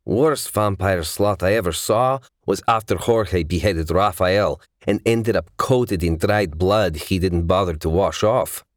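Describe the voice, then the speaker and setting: A man's voice: deep voice, unhurried, hardly any pauses, no echo, studio quality. A man playing a protective, wry, grumbling gunman in an audiobook. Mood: disgruntled. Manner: grumbling, decisive.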